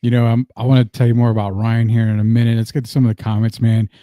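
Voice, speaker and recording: A middle-aged man hosts a live sports stream with a mellow, relaxed tone. He talks in a loose, slangy, conversational way, recorded close and dry. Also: medium pitch, quick pace, dry recording. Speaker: sports show host.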